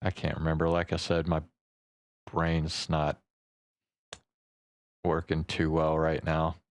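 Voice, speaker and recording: A man livestreaming in a casual, halting, rambling way, swearing offhandedly as he chats. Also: extremely low pitch, completely flat delivery, no room sound. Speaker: live streamer.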